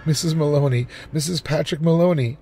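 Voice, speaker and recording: A man's voice: a little high, fairly quick, warm tone, faint music behind, voice pushed up, lightly acted. A man voicing a polite, anxious housewife with an eager, over-sweet manner in a storybook reading. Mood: anxious, distressed. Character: housewife feigning brightness and distress, anxious, polite. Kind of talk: storybook character voice.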